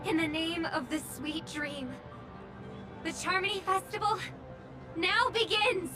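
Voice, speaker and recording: A woman's voice: very high voice, fairly even intonation, slow, over music. A woman playing an idealistic young singer in a video game, delivering poetic lines with earnest, oratorical devotion. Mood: proclaiming. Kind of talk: dramatic game voice acting.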